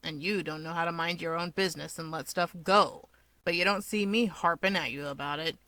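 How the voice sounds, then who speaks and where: A woman's voice: on the low side, fairly quick. Woman playing a sullen, snarky young man. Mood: sarcastic. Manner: deadpan, snarky. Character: sullen, sarcastic young man, guarded and irritable.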